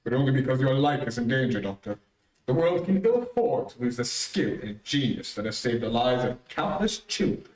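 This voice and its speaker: Grave vigilante for vintage radio drama, male actor: formal, deliberate, commanding, heard at a distance in a roomy space. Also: mid-range voice, big pitch swings, darkish tone, lightly acted. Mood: grave, resolute. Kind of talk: 1930s radio drama acting. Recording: roomy, distant-miked stage recording.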